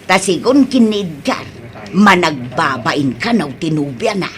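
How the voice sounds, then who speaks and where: A woman's voice: low voice, animated, a little slow. A middle-aged woman with an Ilocano accent, acting a plain-spoken, concerned provincial mother in a comedy drama. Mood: matter-of-fact. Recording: noticeable background noise.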